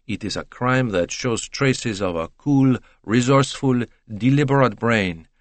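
A man playing a meticulous detective who speaks, courteous and formal. His phrasing is measured and deliberate, recorded close and dry. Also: medium pitch, no echo, close to an everyday voice. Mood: probing. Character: precise, polite middle-aged male detective.

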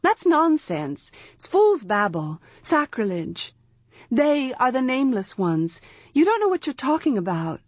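A woman's voice: a little slow, no echo. A woman reading aloud, voicing a proud young girl priestess who speaks with scorn and defiance. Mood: defiant, fierce. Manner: scornful, defiant, rising to shrill. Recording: dull, muffled, dry sound.

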